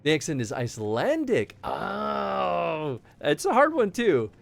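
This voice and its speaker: A man's voice: sits high, huge pitch swings, slow, gravelly, soft background music. A man chatting casually on a live game stream, reacting playfully and laughing often.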